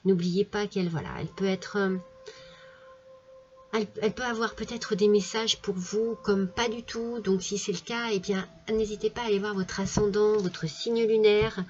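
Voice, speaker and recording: A woman's voice: mid-range voice, lo-fi sound. Hesitant French woman with a cold. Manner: hesitant, many fillers and restarts; gentle, soothing. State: has a cold. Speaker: tarot card reader. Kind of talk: casual tarot reading monologue.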